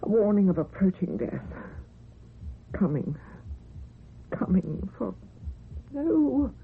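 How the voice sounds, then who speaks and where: A woman's voice: medium pitch, big pitch swings, slow pace, creaky, slightly rough, exaggerated voice. An actress playing a lonely, frightened, ageing woman in a theatrical, tremulous old-time radio horror style. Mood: shaken, self-scolding. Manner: theatrical, tremulous, talking to herself. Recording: dull vintage radio recording, faint hiss.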